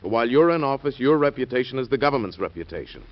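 An actor playing a devoted, honorable husband and cabinet member in an old radio drama, speaking tenderly and earnestly. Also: male voice, medium pitch, lively intonation, very fast, close to an everyday voice. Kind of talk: 1940s radio acting. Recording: dull lo-fi old radio recording.

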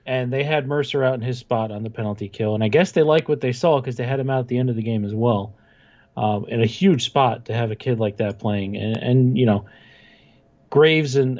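A man with a mid-pitched voice co-hosts a casual sports podcast, offering a fan's opinions in loose conversation. The recording is a little dull and lo-fi. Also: a little mellow. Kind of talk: casual opinionated hockey fan chat.

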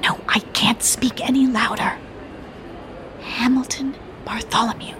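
An actress playing an anxious, earnest young widow on the run in a radio drama. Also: female voice, on the high side, fairly even intonation, measured pace, noisy background, lightly acted. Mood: frightened whisper.

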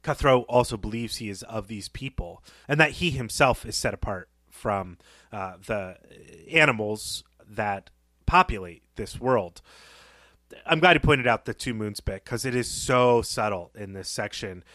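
A man co-hosting a podcast, discussing a story in a conversational, measured and analytical way. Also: lowish voice, lively intonation, a bit bright, no room sound. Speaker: podcast host.

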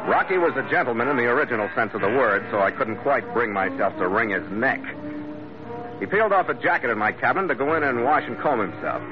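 Gruff, wry American sea captain, played by a man, old radio. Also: mid-range voice, big pitch swings, fast, natural voice. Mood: wry, reflective. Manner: clipped, dry, wry. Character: gruff, wry American merchant ship captain, narrating his log. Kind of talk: 1940s radio acting, hard-boiled first-person narration. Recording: dull old radio recording, loud music.

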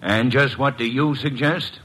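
An actor playing a gruff, impatient police inspector with a husky voice, in classic radio drama style. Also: male voice, mid-range voice, measured pace, fairly bright tone, low-quality recording. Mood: irritated. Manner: gruff, businesslike.

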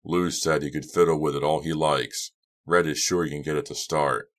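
A very low-pitched, macho young man acted by a male narrator in an audiobook. He is loud and emphatic, with a defensive, easily riled temper. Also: steady tone, normal speed. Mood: matter-of-fact. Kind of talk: audiobook character voice.

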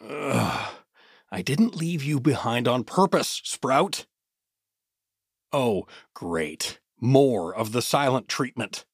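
Hapless male everyman for audio adventure, man actor: husky voice, lively jumping pitch, folksy, polite, flustered. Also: slow, no room sound, clean studio sound, heavily acted. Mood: exasperated. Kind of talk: fantasy audio drama acting.

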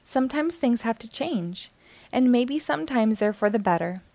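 A woman's voice: a little high, animated, normal speed, vocal fry, dark tone, dry recording. A woman reading the lines of a caring, consoling older sister in a storybook. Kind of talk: storybook character dialogue.

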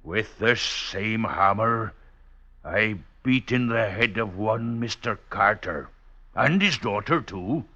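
An actor playing a rough rustic wanderer and cool killer in an old radio drama, with deliberate, archaic country speech. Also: male voice, on the low side, lively intonation, pushed and bright, full character voice. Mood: coolly menacing. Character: rough rustic male English wanderer, a coolly menacing killer. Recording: dull old radio recording.

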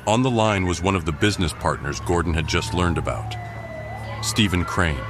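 A man's voice: low voice, moderate pace, noisy background, lightly acted. A man narrating a scripted crime mystery audio drama as a grave storyteller. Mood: grave, suspenseful. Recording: background music under the voice.

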